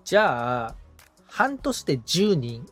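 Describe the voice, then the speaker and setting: Animated, tentative male podcast assistant. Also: a little high, slow pace, slightly rough, faint music behind. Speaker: podcast assistant being coached. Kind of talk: casual conversation, asking and musing.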